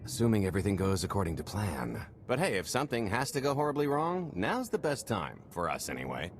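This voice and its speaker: A man's voice: on the low side, sing-song, fairly quick, background noise. A man playing a cocky male smuggler with wry, sardonic banter in a video game cutscene.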